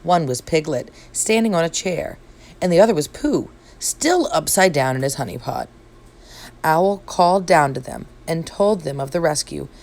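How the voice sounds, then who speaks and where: Woman storybook reader, lowish voice, highly varied intonation, expressive children's narration. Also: moderate pace.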